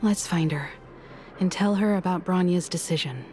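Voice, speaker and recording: An actress playing a calm, sensible adviser in a video game, speaking politely and with composure. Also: female voice, lowish voice, moderate pace, faint music behind, lightly acted. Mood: composed. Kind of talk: scripted video game dialogue.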